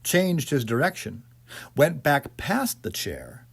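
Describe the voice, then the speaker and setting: A man reading a ghost story as scripted dramatic narration, in a theatrical, measured storytelling manner. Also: medium pitch, sing-song, vocal fry, breathy edge. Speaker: audiobook narrator.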